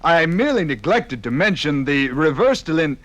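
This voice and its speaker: An actor playing a flustered, apologetic professor and inventor in a 1950s radio drama, hesitant and stammering. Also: male voice, a little high, very animated, normal speed, a bit bright, no echo. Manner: hesitant, stammering, repeats himself. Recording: dry vintage 1950s radio recording.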